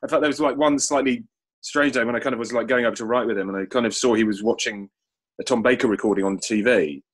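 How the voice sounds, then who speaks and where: A man talks very quickly in an informal panel conversation. His voice is somewhat husky and unpolished. He rambles, repeating and restarting phrases as he works through his thoughts. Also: mid-range voice, steady tone. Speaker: audio drama writer. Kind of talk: casual panel chat. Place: remote online call.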